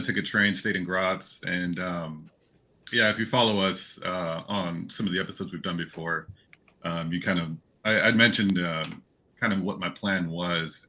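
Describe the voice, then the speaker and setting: A man hosting a casual chat, talking in a loose, informal, halting way. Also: deep voice, bright, forceful tone. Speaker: podcast host.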